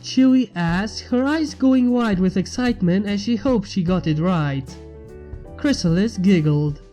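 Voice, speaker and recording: A woman narrates a story from a script in a mellow, relaxed voice of medium pitch. Faint music plays behind her reading. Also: a little slow. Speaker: fan fiction reader.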